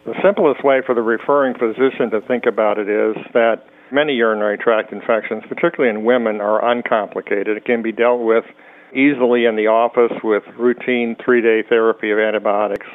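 A male urologist explaining clinical practice in a calm, measured way during a medical podcast interview. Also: lowish voice. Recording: tinny phone-like remote audio.

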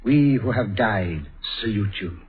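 A husky courtly old uncle. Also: male voice, fairly low pitch, animated, measured pace, heavily acted. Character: gentle courtly older uncle, fussy, sentimental. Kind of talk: 1930s radio acting. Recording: tinny old radio broadcast.

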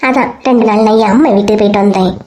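A woman's voice: medium pitch, fairly even intonation, racing, darkish tone. A woman with a Kanyakumari Tamil accent voicing comic cartoon characters. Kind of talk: cartoon comedy voice acting.

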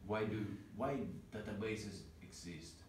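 A man's voice: fairly low pitch, unhurried. A man in his thirties with a Russian accent, teaching an informal programming class in a small meeting room and asking the students questions. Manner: relaxed, asks the class questions. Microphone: far camera. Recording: roomy, distant, noisy room sound.

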